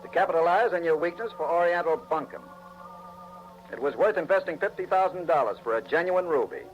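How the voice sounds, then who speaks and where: An actor playing a blunt, sardonic police trooper in a 1940s radio crime drama, talking in a hard-boiled, declarative way. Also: male voice, a little high, expressive, brisk, soft background music, close to an everyday voice. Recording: dull old radio recording.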